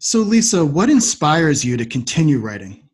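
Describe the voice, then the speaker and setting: A man's voice: a little high, sing-song, normal speed, slightly rough. A man hosting an interview podcast, politely affirming his guest and putting questions in a conversational way. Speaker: podcast host.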